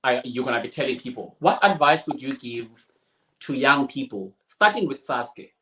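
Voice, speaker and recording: A man hosting an interview, asking measured, encouraging questions with pauses. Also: medium pitch, expressive, sharp tone, roomy sound, a little hiss or hum, low-quality recording. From South Africa. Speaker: interview host. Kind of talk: conversational interview.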